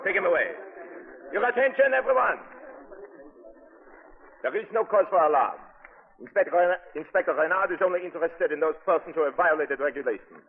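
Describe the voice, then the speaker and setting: Menacing, officious police lieutenant. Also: male voice, on the high side, expressive, brisk, vocal fry, pauses often, husky, phone-quality sound, full character voice. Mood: stern, commanding. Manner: barking, officious. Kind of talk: 1940s radio drama acting. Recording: thin, noisy vintage 1940s radio recording.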